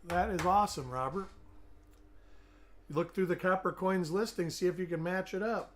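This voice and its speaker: A man's voice: high-pitched, moderate pace, slight background noise. A middle-aged man hosting a live coin-hunting stream with a weak, fading voice after an illness. He chats along, greeting viewers by name while looking over coins. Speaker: host.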